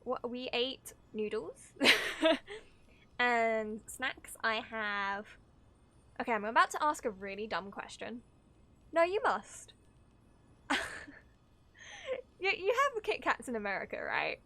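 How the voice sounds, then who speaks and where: A woman with a high, very animated voice streams a game. She chats casually and excitably, giggles a lot and gets sidetracked easily. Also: slow pace, long pauses. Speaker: livestreamer reacting to a video game. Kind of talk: casual excited chat while gaming.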